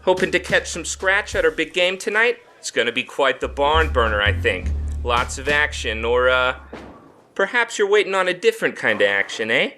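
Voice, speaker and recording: An actor playing a cocky, chatty 1950s mobster in a noir radio drama, with a breezy, mocking, slick way of talking. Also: male voice, a little high, expressive, moderate pace, bright, forceful tone, soft background music. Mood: breezy, sly.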